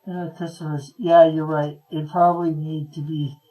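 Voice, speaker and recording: A man hosting a live stream, chatting casually and reading comments in a halting, chuckly way. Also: fairly high pitch, dark tone, poor audio.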